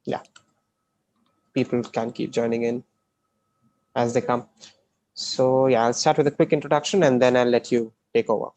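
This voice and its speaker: A man hosting an online live stream and informally introducing a speaker, with a halting, hesitant delivery. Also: medium pitch, fairly even intonation, darkish tone, a little hiss or hum. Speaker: conference host introducing a speaker. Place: online video call.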